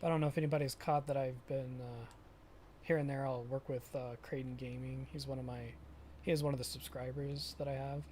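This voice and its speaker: A young man chatting casually with viewers during a live stream of a farming game, often pausing and restarting his sentences. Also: mid-range voice, flat delivery, mellow, slight background noise. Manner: halting, self-correcting, chatty with viewers.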